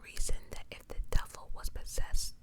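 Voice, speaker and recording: Woman in pure ASMR whisper. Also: moderate pace. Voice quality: soft breathy whisper. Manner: informal, incredulous commentary between read passages. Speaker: host of true crime ASMR channel. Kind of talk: whispered ASMR reading with casual asides.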